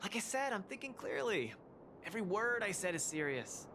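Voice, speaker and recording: A man voicing a cocky, upbeat young cage fighter in a video game, boastful, excitable and easygoing. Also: high-pitched, normal speed, noisy background. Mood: earnest, determined. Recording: loud game music behind. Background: loud game music.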